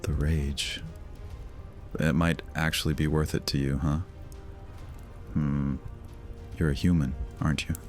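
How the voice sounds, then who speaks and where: An actor playing a brooding, sardonic vampire lord in a horror audio drama, speaking in a theatrical, deliberate, taunting manner. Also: male voice, extremely low pitch, flat delivery, a little mellow, soft background music. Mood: taunting, menacing.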